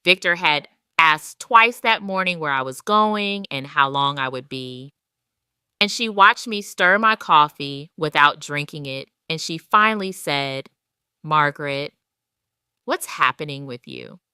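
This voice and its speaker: A woman narrates a scripted audio drama in the first person as a wronged retired wife. Her voice is low and bright, and she tells it at a slow, deliberate pace with measured phrasing. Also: lively intonation, clear, resonant tone, no room sound, studio quality.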